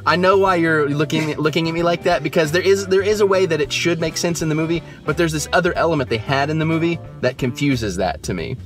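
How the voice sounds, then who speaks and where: A young man talks through his thoughts on a film he has just seen, in a casual and hesitant way. He rambles, fills his pauses with ums and you-knows, and restarts his sentences often. Soft music plays faintly underneath. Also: on the high side. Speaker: movie reviewer, channel host. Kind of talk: casual post-movie review chat. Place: just back from the theater, at home.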